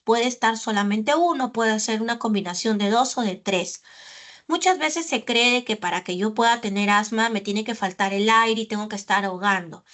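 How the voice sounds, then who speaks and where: A woman pulmonologist giving a medical lecture, explaining carefully and often pausing on filler sounds and tag questions. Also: fairly high pitch, a bit bright. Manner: didactic, with frequent "¿no?" tags and "eh" fillers.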